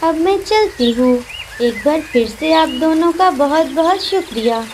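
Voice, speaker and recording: Woman voicing a high, sweet cartoon mother sparrow. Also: lively intonation, a little slow, slight background noise. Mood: grateful. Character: gentle, polite, trusting mother sparrow. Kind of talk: Hindi children's cartoon voice acting.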